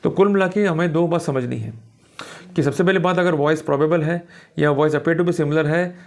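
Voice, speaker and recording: Creaky-voiced Indian man giving a lecture. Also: on the high side. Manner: didactic, checks understanding with short questions; reads English passages slowly and deliberately, explains quickly in Hindi. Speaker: law lecturer. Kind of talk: lecture, reading out judgments and explaining them.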